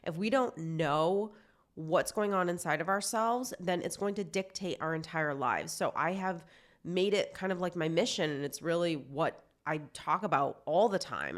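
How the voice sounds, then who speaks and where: A woman's voice: sits low, moderate pace. A woman in her forties, a life coach hosting her own show, talking in a chatty, earnest way while still congested from a cold. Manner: chatty, earnest, lots of "like" fillers. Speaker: life coach and podcast host. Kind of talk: casual self-help talk to camera.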